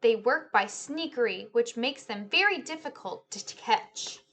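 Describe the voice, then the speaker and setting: A woman voicing a matter-of-fact father in a children's storybook, reporting the news in a measured way. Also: fairly high pitch, expressive, a bit bright, full character voice. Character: father reading newspaper aloud at breakfast, matter-of-fact.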